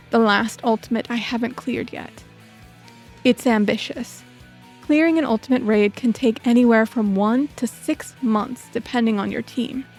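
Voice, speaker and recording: A woman narrates a scripted personal story for a video essay. Her voice sits a little high, and faint music plays behind her. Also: a little mellow.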